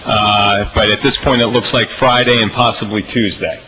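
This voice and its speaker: Committee chairman, American male, fairly low and forceful, running a legislative hearing. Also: fairly even intonation, moderate pace, a little hiss or hum, rough recording.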